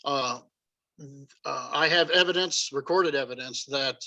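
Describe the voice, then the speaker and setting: A man telling his personal story as a talk-show guest, halting with frequent fillers. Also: medium pitch, vocal fry, sharp tone, dull audio. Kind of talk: personal testimony.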